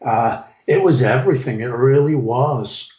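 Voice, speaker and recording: A man's voice: medium pitch, measured pace, a little mellow. A middle-aged man, telling stories from his youth as an interview guest. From England. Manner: storytelling, reflective, with some restarts. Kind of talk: reminiscing interview talk. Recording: echoey, slightly dull recording.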